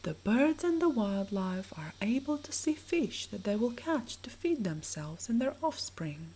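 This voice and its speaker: A woman narrates a sleep meditation in a soft, breathy voice with a mellow, dark tone. She speaks slowly and soothingly, with lively rises and falls in pitch as she gently guides the listener. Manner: soothing, measured, gently instructing.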